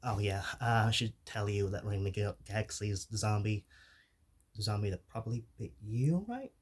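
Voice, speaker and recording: A man playing a shy, soft-natured zombie in an ASMR roleplay, speaking softly with stammers and apologetic hesitation. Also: on the low side, moderate pace, husky. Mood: sheepish, confiding. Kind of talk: whispered ASMR roleplay addressed to the listener.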